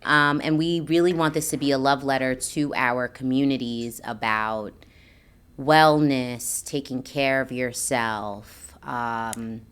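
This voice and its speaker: A woman's voice: extremely low pitch, fairly even intonation, slow, clean tone. A woman co-hosting a podcast as a nurse, talking casually in an earnest, encouraging manner. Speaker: podcast co-host, registered nurse. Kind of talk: casual conversational podcast talk.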